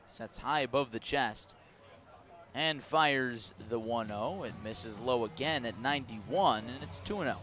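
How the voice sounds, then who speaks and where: An American man calling a baseball game live on the radio, describing play in measured, short clipped phrases. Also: mid-range voice, fairly bright tone. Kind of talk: live radio sports commentary.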